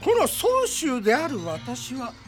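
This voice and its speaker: An actor playing an old, cryptic homeless man, gently teasing and musing in old-fashioned speech, in an anime radio drama. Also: very high voice, sing-song, soft background music, exaggerated voice. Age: older. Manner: old-man dialect, musing, deliberate delivery.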